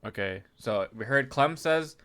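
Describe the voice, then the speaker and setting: Male video host, bright nasal tone, under the weather, casual and chatty breakdown of a match. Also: medium pitch, expressive, measured pace. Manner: chatty, clears throat often, restarts. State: sick, slightly nasal. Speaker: YouTube host analyzing a game replay. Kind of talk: casual game analysis commentary.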